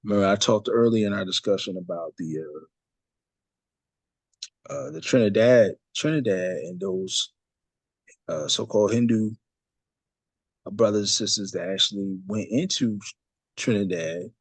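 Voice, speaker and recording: A low, husky man talks through a religious study lesson in a loose, easygoing way, with plenty of fillers and side remarks. The sound is dry and close, with no room around it. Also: normal speed, long pauses, dry recording. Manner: loose, conversational, many fillers and asides. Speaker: religious teacher leading a study discussion. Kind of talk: casual religious study talk.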